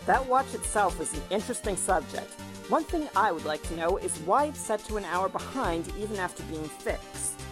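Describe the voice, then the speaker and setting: A woman's voice: medium pitch, lively intonation, moderate pace, music in the background, lightly acted. Woman playing a composed, shrewd courtroom mentor. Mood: thoughtful. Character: encouraging, shrewd co-counsel and mentor. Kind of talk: fan audio drama acting.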